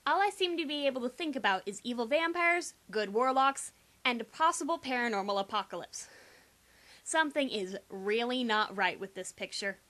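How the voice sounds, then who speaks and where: A woman's voice: a little high, expressive, normal speed, sharp tone. A young adult woman giving a sarcastic, theatrical reading of a first-person teen story, with exaggerated emphasis. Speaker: amateur reader.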